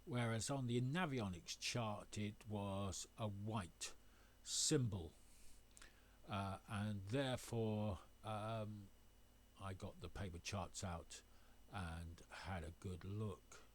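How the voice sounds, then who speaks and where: A man narrating his sailing voyage as a voice-over, pausing often with many uhs and ums. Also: fairly low pitch. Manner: hesitant, full of uhs and ums. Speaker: sailing vlogger.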